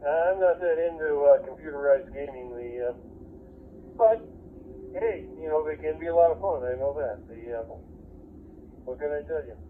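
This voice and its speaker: A man's voice: a little high, moderate pace, long pauses. An older, retired man with an American accent, chatting casually over two-way amateur radio in a rambling way, restarting and repeating his phrases. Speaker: retired police dispatcher, amateur radio operator. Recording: narrow, phone-like radio link with static noise.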